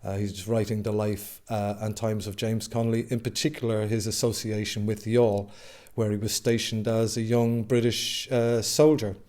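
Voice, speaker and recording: A man's voice: lowish voice, little pitch movement, a little mellow. A man from Dublin, an actor speaking in an interview with many hesitations. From Dublin, Ireland. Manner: halting, many filler sounds. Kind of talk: casual interview.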